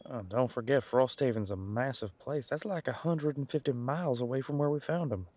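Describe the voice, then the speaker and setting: A man's voice: mid-range voice, a little fast, a little mellow, lightly acted. A man playing a casual, wry police detective in an audio roleplay, musing in a conversational, hesitant way. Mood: puzzled, intrigued.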